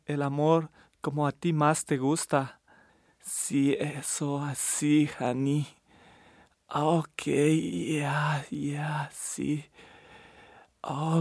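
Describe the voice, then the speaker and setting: Husky, breathy man speaking very slowly. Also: fairly high pitch, darkish tone, no echo, clean studio sound. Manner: breathy, murmured, slips between Spanish and English endearments. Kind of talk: intimate erotic roleplay monologue.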